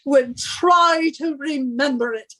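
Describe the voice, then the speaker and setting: A woman's voice: high voice, slow, a bit bright. A woman playing a bossy, scornful wife who nags and scolds in a suspense radio play. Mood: bossy, impatient. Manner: imperious, scolding, henpecking. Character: bossy, nagging British wife of a professor, scornful.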